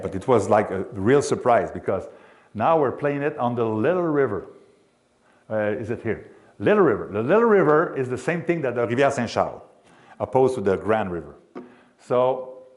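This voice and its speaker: A man with a Quebec French accent, a local sports announcer giving an informal history talk in English, pausing often with fillers as he searches for words. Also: mid-range voice, a little mellow. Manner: halting, many fillers and restarts while searching for English words.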